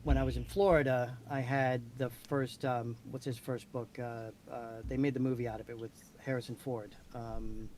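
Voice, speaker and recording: A man's voice: medium pitch, little pitch movement, normal speed, few pauses, darkish tone, a little hiss or hum. A man from Maine hosting a casual interview podcast, telling anecdotes and asking questions in an informal, loose way. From Maine, United States. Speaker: podcast host.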